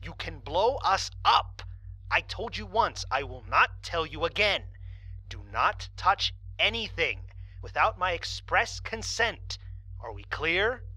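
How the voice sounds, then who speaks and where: Woman playing a low, husky, stern spaceship commander. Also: big pitch swings, takes it slowly, sharp tone, no echo, full character voice, rough recording. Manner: firm, authoritative, clipped. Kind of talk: audio drama acting.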